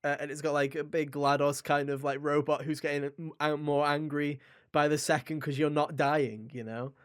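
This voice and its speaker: A man co-hosting a gaming podcast, chatting casually and enthusiastically in an animated, rambling way. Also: fairly high pitch, normal speed, smooth, no room sound, studio quality. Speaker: podcast co-host reviewing a video game.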